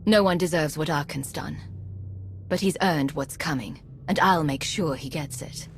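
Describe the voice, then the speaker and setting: An actress playing a defiant, confident commander in a video game, firm and dry in manner. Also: female voice, sits low, moderate pace, slight background noise. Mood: resolute.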